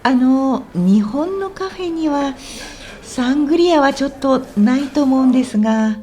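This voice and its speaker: Woman playing high-voiced, lively, helpful tour guide. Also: measured pace, a little hiss or hum. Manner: polite, explanatory, clearly enunciated. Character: friendly, helpful woman guiding a visitor around Osaka. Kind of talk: scripted language-lesson radio drama.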